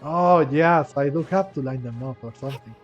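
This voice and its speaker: A man giving casual let's-play commentary, rambling and halting as he thinks aloud. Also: mid-range voice, dull audio. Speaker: gaming commentator. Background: video game music.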